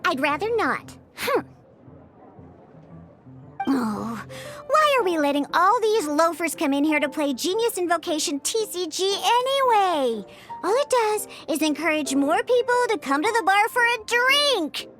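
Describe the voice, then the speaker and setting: A woman's voice: extremely high pitch, big pitch swings, unhurried, a bit bright, soft background music, exaggerated voice. A woman voicing a grumpy, sassy young cat-eared bartender girl who grumbles and sighs, in a game. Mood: scheming. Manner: grumbling, sighing, exasperated.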